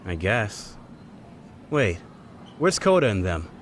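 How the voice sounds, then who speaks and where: Game bit part: guarded young male athlete, low-ish but animated, noncommittal; faint noise behind. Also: slow pace. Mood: wary, casual. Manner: casual, noncommittal. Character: wary, casual young male basketball club student. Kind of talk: English game voice acting.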